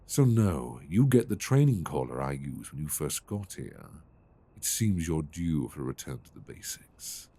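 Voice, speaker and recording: A British man playing a stern, possessive, dominant lover in an intimate ASMR roleplay, speaking deliberately and commandingly. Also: extremely low pitch, very animated, breathy edge, full character voice. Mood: stern, controlled. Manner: slow, deliberate, commanding with soft endearments.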